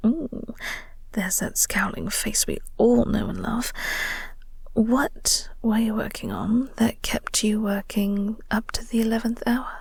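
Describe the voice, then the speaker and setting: A woman playing a flirty, teasing vampire office co-worker in an intimate audio roleplay, speaking softly and breathily with a coy, unhurried manner. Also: a little high, steady tone, no echo, clean studio sound, full character voice. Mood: teasing, attentive. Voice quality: soft, breathy, whispery. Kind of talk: intimate audio roleplay, whispered ASMR-like acting.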